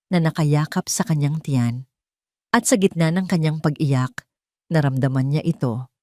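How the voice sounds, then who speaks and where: A woman's voice: lowish voice, darkish tone, dry recording, studio quality. A woman narrating a scripted love story in a dramatic storytelling style.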